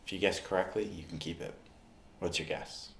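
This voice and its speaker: A man speaking in an ASMR roleplay, addressing the listener directly in short, unhurried phrases with pauses. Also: low voice, fairly even intonation, creaky, echoey room, slight background noise. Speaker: ASMR performer playing a basketball recovery expert.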